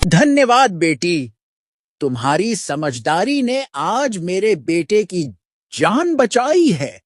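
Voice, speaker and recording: Man playing a slow-talking village headman. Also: high voice, big pitch swings, dry recording, studio quality, exaggerated voice. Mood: grateful. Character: grumbling but caring village headman father. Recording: faint music behind.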